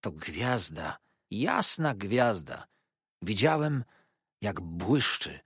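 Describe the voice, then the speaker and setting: A man voicing a kindly, simple-minded old eccentric who talks in an earnest, confiding and excited way. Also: lowish voice, extremely slow, slightly rough, no echo, clean studio sound, exaggerated voice.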